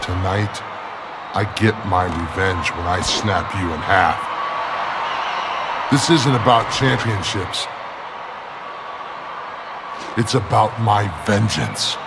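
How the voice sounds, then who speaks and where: A man's voice: on the low side, slow, heavy background noise. A man playing a huge, menacing, vengeful wrestler in a video game cutscene. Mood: threatening. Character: big menacing vengeful male giant wrestler.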